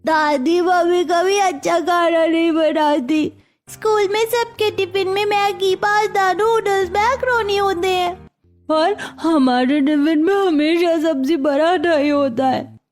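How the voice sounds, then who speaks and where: A woman's voice: very high voice, slow, faint music behind. A woman voicing a pleading, complaining, stubborn young schoolchild in a cartoon story. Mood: pleading, whiny. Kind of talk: Hindi cartoon story dialogue.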